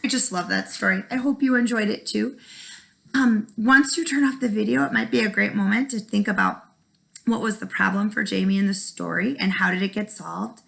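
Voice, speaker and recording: A woman teacher reading a children's story aloud expressively, with dramatic pauses for young listeners. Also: mid-range voice, vocal fry, fairly bright tone. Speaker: first grade teacher.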